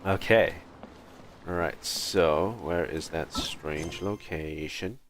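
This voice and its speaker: A man giving casual live gameplay commentary as a streamer, thinking aloud in halting short phrases. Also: sits low, noisy background. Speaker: game livestreamer.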